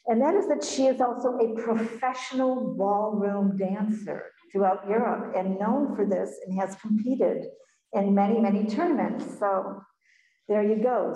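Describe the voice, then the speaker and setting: A woman hosts the event and gives a formal introduction of a guest speaker. She talks briskly with a few small fillers, at a middle pitch. Her voice rings in an echoey hall. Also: dark tone. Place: echoey lecture room.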